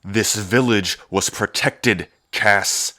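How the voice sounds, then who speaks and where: Guy doing a cocky protective dragon prince, deep voice, teasing and sweary, dry close mic, asmr audio drama. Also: slow, bright, forceful tone, no room sound. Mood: alarmed, angry. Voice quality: deep, low. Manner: smug, flirtatious, teasing, sweary.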